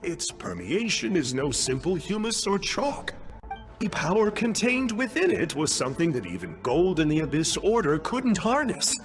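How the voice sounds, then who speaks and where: A man's voice: on the high side, huge pitch swings, normal speed, soft background music. A man voicing a smug, self-important youthful clerk in a video game, wordy and pompous in his theatrical delivery. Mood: grandly explanatory.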